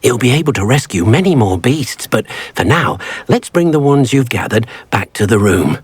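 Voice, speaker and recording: A man's voice: medium pitch, animated, normal speed, slightly rough, no room sound. An actor playing a small, eager male house elf in a video game, who talks of himself in the third person in a fussy, polite way. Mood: helpful. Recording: faint game music behind.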